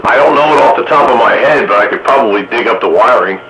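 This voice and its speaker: A man's voice: mid-range voice, fast talker, a bit bright, rough recording. A man hosting a radio hobbyist net, talking casually. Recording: static noise over radio.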